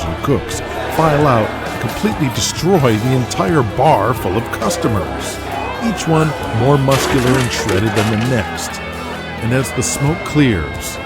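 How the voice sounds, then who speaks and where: A man with an American accent reading dramatic scripted narration for an audio drama. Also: medium pitch.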